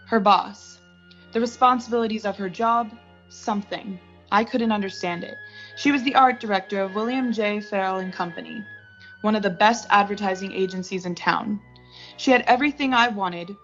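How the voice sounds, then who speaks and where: A woman's voice: mid-range voice, natural voice. A teenage girl playing an ambitious, defiant young woman who narrates her story in a 1940s suspense radio play. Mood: tense, reflective. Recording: muffled home voice track, music behind.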